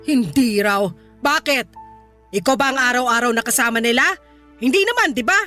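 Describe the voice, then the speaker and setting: A stern Filipino grandmother in a radio drama, with a high, piercing voice. She nags and brushes objections aside with short-tempered, cutting retorts. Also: animated, moderate pace, soft background music. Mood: scolding, indignant. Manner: nagging, dismissive, sharp retorts. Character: stern, quick-tempered, scolding grandmother, older mother.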